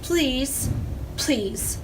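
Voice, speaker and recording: A woman's voice: high-pitched, animated, extremely slow, distant mic, background noise, pitched above the performer's own voice, exaggerated voice. A woman voicing a young male pig who talks in a pleading, urgent way.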